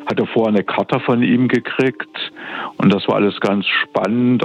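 A man's voice: lowish voice, creaky. A man in his fifties from eastern Germany, recalling things thoughtfully in a telephone interview. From Dresden, eastern Germany. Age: 50s. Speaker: interview guest, church academy director. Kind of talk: spontaneous, reflective recollection in an interview. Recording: dull, band-limited telephone line.

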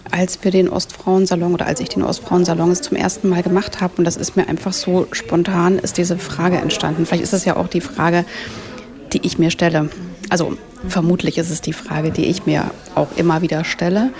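A woman's voice: lowish voice, flat delivery, moderate pace, darkish tone. A woman from eastern Germany answering interview questions in a thoughtful, searching way. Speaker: founder of a women's salon, interviewee. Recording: crowd chatter in the background.

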